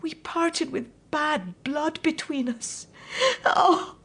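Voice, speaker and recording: A woman's voice: high voice, drawn-out words, a bit bright, full character voice. An actress playing an anguished, devout old mother in a period audio drama, haunted by her own curse and given to whispers and cries. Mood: anguished yearning.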